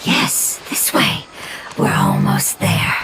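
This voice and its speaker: A voice actor playing a gentle, homesick little forest spirit in a game cutscene, speaking softly with hushed pauses. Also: noisy background, natural voice. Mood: wistful.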